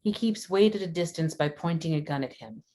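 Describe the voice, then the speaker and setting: A woman reading scripted stage directions as a narrator, in a measured, descriptive manner. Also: low-pitched, expressive, warm tone, a little hiss or hum.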